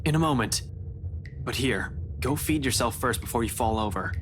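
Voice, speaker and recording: A man's voice: medium pitch, animated, normal speed, background noise, close to an everyday voice. A man voicing a wary, commanding police detective with a guarded, questioning manner in visual novel game dialogue. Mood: gruffly caring.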